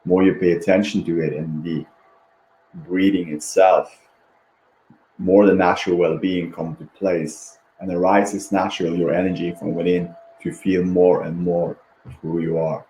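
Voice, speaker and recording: A man leading a guided meditation in a gentle, repetitive, unhurried manner, with long pauses and a background. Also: sits low, little pitch movement. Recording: roomy echo, faint background noise.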